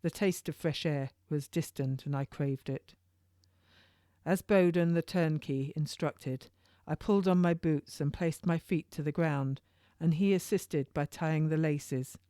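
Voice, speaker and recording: A woman's voice: low voice, measured pace, mellow, dry recording, clean studio sound. A woman author reading her own historical novel as first-person narration, in an informal read-aloud way with occasional stumbles.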